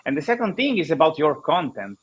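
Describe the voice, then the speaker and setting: A man from Italy with an Italian accent, a guest explaining ideas in halting English with many restarts, over a video call. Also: fairly high pitch, very animated, breathy edge, muffled recording. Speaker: guest speaker. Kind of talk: online conference interview.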